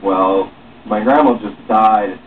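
A man's voice: lowish voice, takes it slowly. Young man reading aloud, tinny distant recording. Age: young adult. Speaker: author reading own script aloud. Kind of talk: dramatic reading of dialogue, playing all parts. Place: small room at home, seated. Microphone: far camera or webcam microphone. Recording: muffled phone-like webcam sound, distant, noisy.

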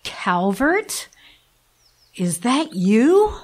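Bitter stranded woman for audio drama, played by a woman; slow, dramatic, big pitch swings. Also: sing-song, full character voice. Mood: awed. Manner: dramatic, accusing. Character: bitter abandoned woman confronting the man who stranded her.

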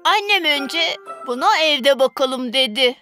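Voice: high-pitched, animated, a little slow, pushed and bright, faint music behind, exaggerated voice. An actor voicing a caring, devout, cheerful young older brother in a children's cartoon dub. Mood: friendly.

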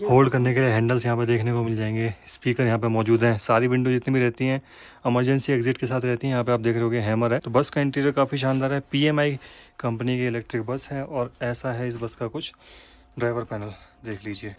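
A young man giving casual, enthusiastic travel vlog narration, chatting to his viewers from a bus. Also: medium pitch. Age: young adult. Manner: enthusiastic, conversational, addressing viewers. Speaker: travel vlogger. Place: inside a moving city bus. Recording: loud vehicle and road noise.

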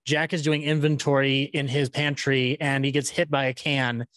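A man's voice: medium pitch, flat delivery, moderate pace, fairly bright tone, dry recording. Chatty male podcast host, stammering a little. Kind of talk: casual interview hosting. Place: small room, indoors, on a video call. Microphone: close podcast microphone on a boom arm.

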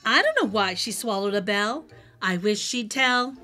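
A middle-aged woman hosting a children's read-aloud, telling a rhyming storybook in an animated, sing-song way over music. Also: mid-range voice, moderate pace. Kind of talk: expressive rhyming storybook narration. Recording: music behind the voice.

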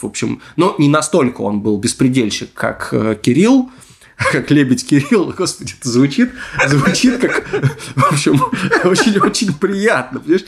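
A man hosting a podcast in a casual storytelling style, with wry, hedging asides. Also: medium pitch. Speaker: podcast host.

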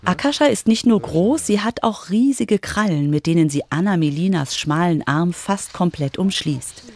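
A woman's voice: lowish voice, lively intonation, moderate pace, a little mellow. A woman narrating a scripted children's radio feature as its reporter. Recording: music under the voice.

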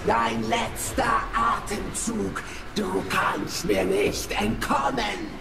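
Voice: mid-range voice, animated, vocal fry, exaggerated voice. An actor voicing a menacing, boastful monstrous entity, taunting prey with grandiose threats in a video game. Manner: slow, grandiose, threatening proclamations. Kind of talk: video game villain voice acting. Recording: echoing voice over loud music.

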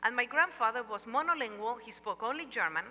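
Bright-voiced woman with Spanish accent. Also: a little high, brisk. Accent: Argentine Spanish. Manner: lively, animated gestures, humorous asides. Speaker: chapel speaker. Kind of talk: chapel talk with storytelling. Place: large church sanctuary stage. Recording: long reverb of a large hall.

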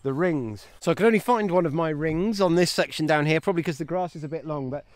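A chatty man in his thirties, his voice sitting a little high, talks eagerly while he walks through an open field. The phone is held at arm's length, and there is no room echo around his voice. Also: fast talker. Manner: chatty and enthusiastic, talking while walking. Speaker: homesteading channel host. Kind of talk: walking vlog, mushroom foraging tips. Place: outdoors in a grassy paddock.

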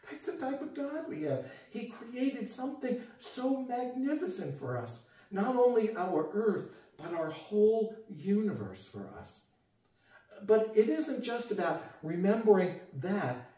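An older man preaching an earnest sermon from his living room, recorded by a camera across the room. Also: a little high. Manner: earnest, gesturing, rises for emphasis and drops quiet. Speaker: pastor. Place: home living room with guitars and a TV. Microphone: far camera. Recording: echoey room from far off.

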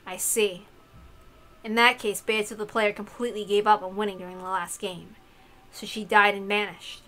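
A woman voicing a serious, thoughtful young woman in a visual novel, earnest and deliberate. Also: mid-range voice, fairly even intonation, fairly bright tone, lightly acted, low-quality recording. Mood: questioning. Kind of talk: acted visual novel dialogue.

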